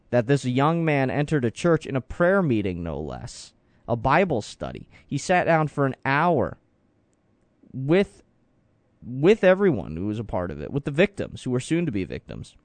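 A man's voice: mid-range voice, very animated, moderate pace, no room sound. A man hosting a morning call-in radio show, talking in an earnest, opinionated way with frequent restarts. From Florida. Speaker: radio talk show host. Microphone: studio microphone.

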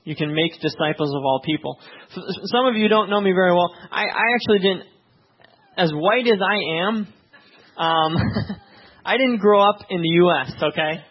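A male pastor who grew up in Tanzania, opening with a soft, hushed prayer and then preaching in a conversational way, often checking in with "right?". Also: high voice, normal speed, slightly rough, muffled recording.

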